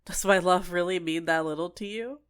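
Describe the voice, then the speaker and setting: A woman's voice: lowish voice, normal speed, a bit bright, clean tone, lightly acted. A woman playing an obsessive, sentient dating-sim character in an ASMR roleplay, clingy and coaxing with menacing sweetness. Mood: hurt, possessive. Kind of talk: scripted ASMR roleplay monologue.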